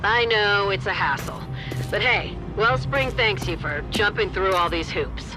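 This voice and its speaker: An actor playing a glib, chipper town mayor in a video game, talking in a breezy, persuasive way. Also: male voice, sits high, expressive, measured pace, music in the background, rough recording. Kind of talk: video game character acting.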